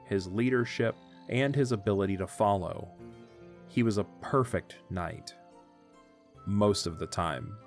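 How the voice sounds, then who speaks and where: Low-voiced man narrating a story. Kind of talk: scripted audio drama narration.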